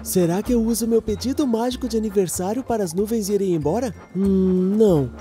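A woman's voice: mid-range voice, brisk, dark tone, natural voice. A woman voicing a cheerful, earnest young male train engine in a children's cartoon, with a Brazilian accent. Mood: musing. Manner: wide-eyed, earnest, exclamatory. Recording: background music under the voice.

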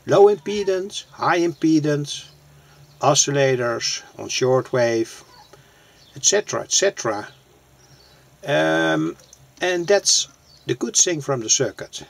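Slow, gravelly man explaining, halting. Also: a little high. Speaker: electronics hobbyist presenter. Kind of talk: unscripted hands-on demonstration.

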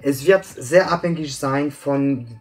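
A man's voice: medium pitch, slow pace, darkish tone, breathy edge. A man in his thirties from France, speaking German with a French accent as an invited expert, explaining patiently with many hesitations and fillers. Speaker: guest expert. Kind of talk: explanatory interview chat. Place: bright office room with plants.

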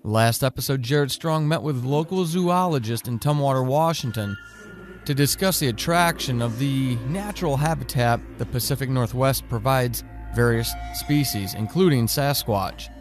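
A man's voice: medium pitch, normal speed. Male announcer over prominent music. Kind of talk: scripted narration introducing an audio drama.

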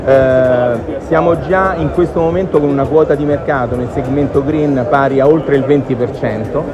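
Confident man pitching at a motor show. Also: normal speed, over music. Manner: confident, promotional, fluent. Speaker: car company executive. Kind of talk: interview answers. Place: busy motor show hall.

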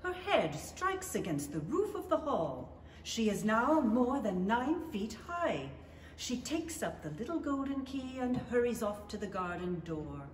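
A woman's voice: on the high side, very animated, unhurried. A woman giving scripted narration for a 1930s-style radio play. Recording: distant, echoey hall with noise.

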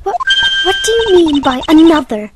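Woman acting a high-pitched, spiteful young villainess. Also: sing-song, moderate pace, music in the background. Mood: indignant, furious. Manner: indignant, seething, stammering outbursts. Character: vicious, spiteful young villainess on the witness stand. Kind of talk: video game fan dub acting.

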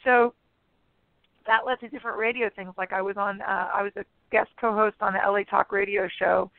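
A woman guest in a casual interview, talking in a chatty, rambling way full of fillers, her voice a bit raspy. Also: mid-range voice, fairly even intonation, a little fast, no echo. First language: English. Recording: phone-line radio sound.

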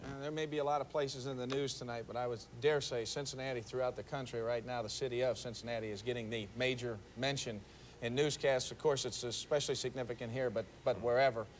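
A man's voice: mid-range voice, fairly even intonation, quick pace, a little hiss or hum. A male news anchor in a TV studio, ad-libbing his lead-ins to live reports in a conversational way.

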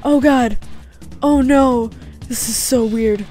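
A young woman commenting casually and excitedly as she plays a game, often laughing. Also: a little high, slow. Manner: giggly, excitable. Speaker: gaming youtuber. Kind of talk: casual let's play commentary. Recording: game music faint underneath.

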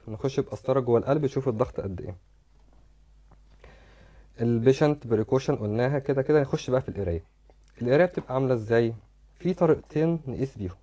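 Fast-talking Egyptian man, mellow tone. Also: medium pitch. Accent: Egyptian Arabic. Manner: explains step by step, repeats words while demonstrating. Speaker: medical lecturer. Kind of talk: recorded lecture explaining slides.

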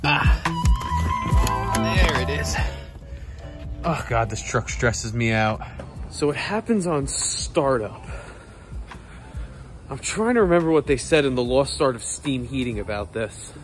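A man's voice: mid-range voice, lively intonation, unhurried, pauses often, music in the background. A man in his thirties talking casually off the cuff as he works as a technician, partly muttering to himself, recorded on a handheld phone. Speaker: HVAC technician filming his workday. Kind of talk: casual off-the-cuff vlog talk. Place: on the job, often in cramped boiler basements. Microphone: handheld phone at arm's length.

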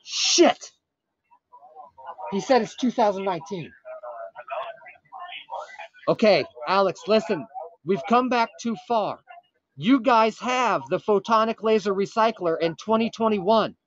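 A man playing a frantic, earnest time traveler from the future on a prank call, urgent, pleading and halting with dramatic pauses. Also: high-pitched, lively intonation, lo-fi sound. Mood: panicked urgency. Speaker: prank caller. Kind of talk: improvised comic prank phone call.

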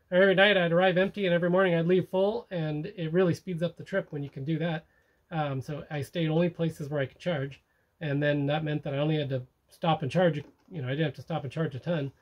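Rambling middle-aged man, slightly high voice. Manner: rambling, many fillers and restarts, easygoing. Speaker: sailing vlog host. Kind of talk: casual narrated recap to camera. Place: inside a parked motorhome, small room.

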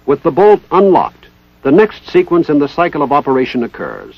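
A man giving formal, precise, scripted narration in the style of a 1960s military instructional film, with measured enunciation. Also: a little high, very animated, dry recording. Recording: dull 1960s training film soundtrack.

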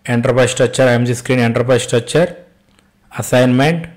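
A man with an Indian accent giving an off-the-cuff software tutorial as an instructor, repeating himself and posing short questions that he then answers. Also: mid-range voice, steady tone, normal speed. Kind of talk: step-by-step screen-share tutorial, spoken off the cuff.

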